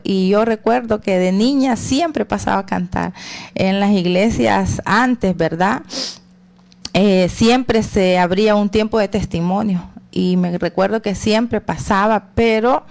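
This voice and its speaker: Una mujer con acento salvadoreño da su testimonio personal de fe con calma, pausando con muletillas como "verdad" y "pues". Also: female voice, mid-range voice, creaky. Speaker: guest giving testimony.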